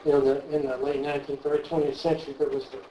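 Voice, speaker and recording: A man's voice: fairly high pitch, flat delivery, warm tone. Hesitant man asking from the audience. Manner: halting, with restarts and fillers. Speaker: audience member asking a question. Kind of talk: hesitant spoken question. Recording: echoey room with faint background noise.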